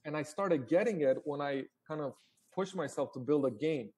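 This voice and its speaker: A man's voice: fairly high pitch, moderate pace, a little mellow, breathy edge. A man in his forties, originally from Romania, talking casually as a startup founder guest on a live call, with many fillers and restarts.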